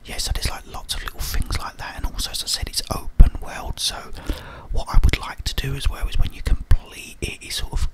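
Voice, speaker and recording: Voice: brisk, hardly any pauses, poor audio. An ASMR YouTuber, whispering a rambling, friendly chat with many fillers.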